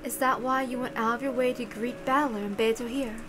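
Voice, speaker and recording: A woman voicing a composed, motherly witch who speaks with gentle, genteel courtesy. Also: a little high, steady tone, a little fast, over music, close to an everyday voice. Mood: courteous, composed.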